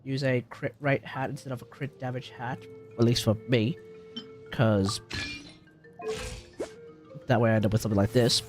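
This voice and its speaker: A man's voice: medium pitch, flat delivery, moderate pace, long pauses. A man livestreaming a video game, talking casually and thinking aloud in an informal way. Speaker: game streamer. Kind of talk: casual live gameplay commentary. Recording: game music behind the voice.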